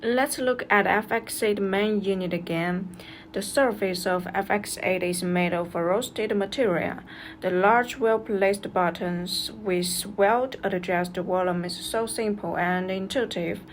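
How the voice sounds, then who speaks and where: A woman's voice: mid-range voice, measured pace, faint music behind. A woman reads a scripted product review as a narrator, going through instructions step by step.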